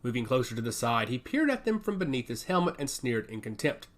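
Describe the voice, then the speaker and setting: A male narrator reads a scripted action story in dramatic audiobook style. His voice sits at a medium pitch and moves with lively, varied intonation. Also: fairly quick.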